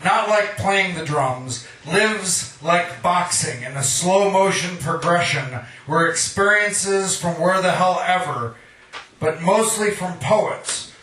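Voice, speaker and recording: A man's voice: sits high, pushed and bright, recorded from a distance, slight background noise. A man giving a live poetry reading, as a poet and host of the night. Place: live reading venue, indoors. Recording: echoey room, picked up from afar.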